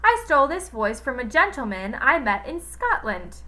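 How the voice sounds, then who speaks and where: A woman voicing a sly villainous male teacher who talks in a slow, falsely pleasant, syrupy voice. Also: sits high, very animated, pushed and bright, voice pushed up, full character voice. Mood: smug.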